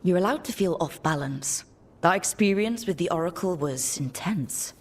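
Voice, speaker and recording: Calm woman party leader in fantasy game, lowish voice, supportive. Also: normal speed. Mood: reassuring, steady. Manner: calm, reassuring.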